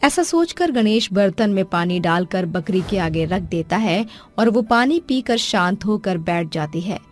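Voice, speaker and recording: A female narrator tells a cartoon story in a mid-pitched, even voice. Her reading is clear, and faint music plays under it. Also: a little slow.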